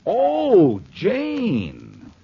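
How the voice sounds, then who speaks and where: An American actor playing a glib, teasing, cool-headed amateur detective in a 1940s radio crime drama. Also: male voice, high voice, huge pitch swings, very slow, breathy edge, exaggerated voice. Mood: feigned casualness. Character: wisecracking, cool-headed male amateur detective accused of murder. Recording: dull 1940s radio broadcast recording.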